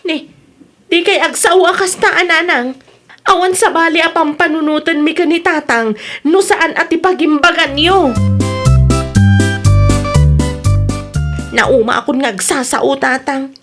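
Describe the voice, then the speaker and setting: High-pitched, earnest Ilocano daughter acted by a woman. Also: moderate pace, fairly bright tone, faint music behind. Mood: exasperated. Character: concerned, scolding grown daughter who supports her parents. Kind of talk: Ilocano radio comedy drama acting.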